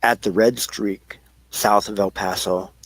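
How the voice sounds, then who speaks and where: A man narrating an audio play in an amateur, somewhat halting reading, with a gravelly, husky voice. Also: fairly low pitch, fairly even intonation, fairly bright tone, no room sound, poor audio.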